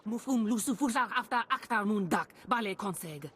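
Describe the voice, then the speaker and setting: A woman playing a callous alien researcher in a video game, with detached, unhurried delivery. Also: mid-range voice, animated, fairly bright tone, slightly rough. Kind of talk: scripted video game dialogue.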